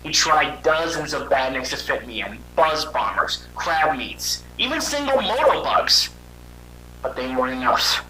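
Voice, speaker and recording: A man voicing a weary young robot hedgehog, telling his story in a halting, conversational and dramatic way for a fan audio drama. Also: fairly high pitch, very animated, music in the background, low-quality recording. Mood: somber, reflective.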